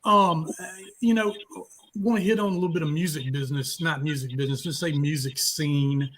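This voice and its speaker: Laid-back man hosting, slightly high voice. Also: brisk, a little hiss or hum, low-quality recording. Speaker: host of a live music talk show. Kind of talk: casual unscripted interview chat. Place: remote live stream call.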